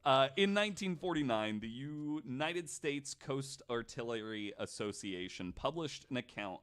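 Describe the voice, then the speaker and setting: A man hosting a casual podcast, retelling stories to his guests in an animated, swearing way. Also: mid-range voice, measured pace, clean tone. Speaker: podcast host.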